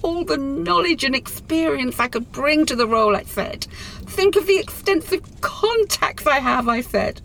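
A woman's voice: sits high, expressive, moderate pace, vocal fry, fairly bright tone, slight background noise, exaggerated voice, rough recording. An actress playing a distraught middle-aged office woman in a radio play, with a wordy, wounded, dramatic delivery. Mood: bitter, distraught.

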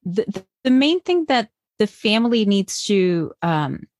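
A woman from Colombia talks as a podcast guest about raising bilingual children. She speaks in an earnest, encouraging way and often restarts her sentences. Her voice sits at a middling pitch, and the recording is dry and close. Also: big pitch swings, slow pace, dry recording. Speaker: guest, bilingual parenting educator. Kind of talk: podcast interview answers.

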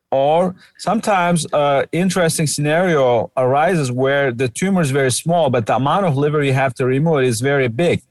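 A man's voice: medium pitch, no echo. A middle-aged man with a Turkish accent, a surgeon guest on a podcast, explaining at length with many "uh" fillers. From Turkey. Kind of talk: podcast interview.

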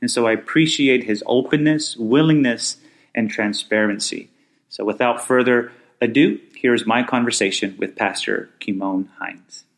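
A man's voice: mid-range voice, moderate pace, creaky. A man hosting a faith podcast, interviewing a guest in a rambling style with many restarts. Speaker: podcast host.

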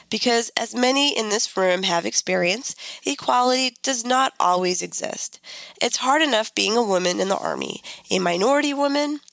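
A middle-aged woman hosting a solo podcast, congested from a cold, reading a speech in an earnest, measured way. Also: medium pitch, gravelly, a bit bright, no echo, low-quality recording. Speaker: podcast host.

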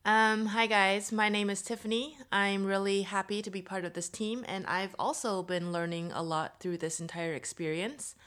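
A woman's voice: medium pitch, little pitch movement, moderate pace, few pauses, a bit bright. Friendly woman introducing herself on a podcast. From born in the US, raised in New Zealand and Taiwan. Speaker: podcast producer. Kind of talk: casual podcast self-introduction.

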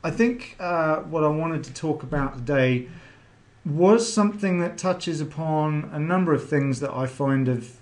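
A man's voice: a little high, animated, measured pace. A middle-aged man hosting a podcast, chatty and rambling, with a lot of ums. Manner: chatty, rambling with many fillers. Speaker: podcast host. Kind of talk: podcast interview.

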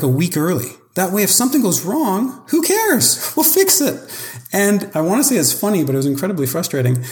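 Hesitant man, searching for words. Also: darkish tone. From Canada. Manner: hesitant and searching for words, repeats himself, sudden jumps up in pitch. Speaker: guest, company co-founder. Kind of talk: casual podcast conversation.

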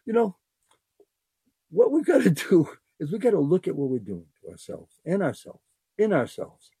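A man's voice: high-pitched, wildly swooping pitch, moderate pace, warm tone, breathy edge, dry recording. An older man hosting his own podcast, talking earnestly off the cuff in a rambling way that repeats phrases. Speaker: podcast host. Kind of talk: unscripted heartfelt monologue.

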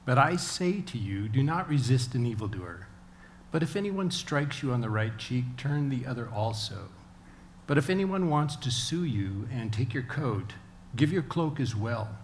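A man reading scripture aloud in a plain, deliberate way. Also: mid-range voice, sing-song, slight background noise. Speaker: volunteer scripture reader.

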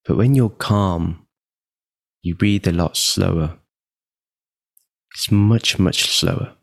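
A man leading a guided meditation in a calm, measured, deliberate manner, leaving long pauses between phrases. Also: sits low, vocal fry, dry recording, studio quality.

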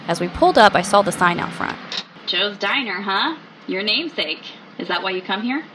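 A woman's voice: mid-range voice, lively intonation, normal speed, bright, forceful tone, slight background noise, rough recording. Curious woman podcast investigator. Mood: measured storytelling. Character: curious, eager female radio host investigating mysteries. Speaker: podcast host and narrator. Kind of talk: audio drama dialogue and first-person narration.

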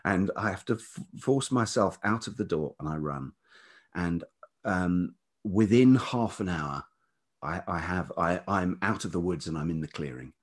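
Deep, velvety British man, slightly husky. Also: animated. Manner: halting, digressive, thoughtful. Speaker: podcast guest, writer and radio producer. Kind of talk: reflective interview talk.

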